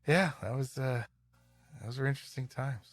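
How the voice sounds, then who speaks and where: A man co-hosting a podcast, reading stories aloud dramatically and joking playfully with a deadpan streak. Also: mid-range voice, moderate pace, no room sound. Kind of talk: dramatic story reading with comic banter.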